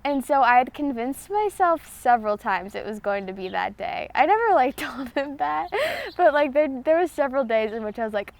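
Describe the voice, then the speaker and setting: A woman's voice: high voice, expressive, normal speed, gravelly. A young woman telling her love story casually, gushing and giggly, with plenty of "like" in her sentences. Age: young adult. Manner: giggly, gushing, liberal use of "like". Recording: music behind the voice. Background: music.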